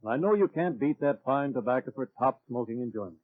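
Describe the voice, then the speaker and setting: A man's voice: mid-range voice, lively intonation, fast talker, lightly acted. A man playing an earnest tobacco warehouseman giving a 1940s radio advertising testimonial, on a muffled old recording.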